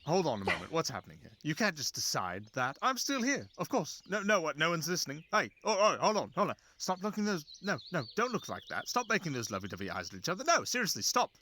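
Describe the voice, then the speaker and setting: A man's voice: on the high side, sing-song, quick pace, few pauses, pushed and bright, heavily acted. A man voicing a jealous, sarcastic fox familiar in a fantasy audio roleplay, fussy and dramatic, stammering out his protests. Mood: indignant, jealous. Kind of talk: fantasy ASMR roleplay acting.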